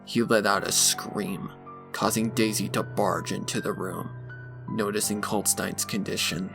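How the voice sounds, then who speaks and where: A man narrating a dark story from a script in a dramatic style. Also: on the low side, fairly even intonation, unhurried, gravelly. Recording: music behind the voice.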